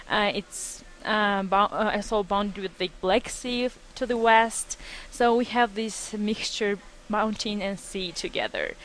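Guest role: young woman in her twenties, Georgian accent, earnest and halting, faint hiss behind. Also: mid-range voice, poor audio. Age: 20s. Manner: earnest, halting with many fillers and restarts. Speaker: student guest. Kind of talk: radio interview.

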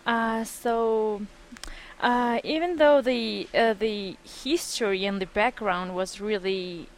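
A woman of twenty with a Georgian accent, a student guest on a radio interview, speaking earnestly with many pauses and restarts. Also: mid-range voice. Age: 20s.

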